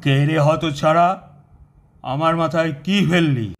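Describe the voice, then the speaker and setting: A man's voice: a little high, fairly even intonation, unhurried, background noise. A man acting a grumbling, put-upon cartoon uncle. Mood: indignant. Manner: exclaiming, complaining.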